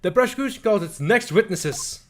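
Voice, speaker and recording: A man's voice: high voice, expressive, moderate pace, fairly bright tone, voice pushed up. A man voicing a smug, menacing courtroom prosecutor in a video game, with a condescending, theatrical manner. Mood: smug menace.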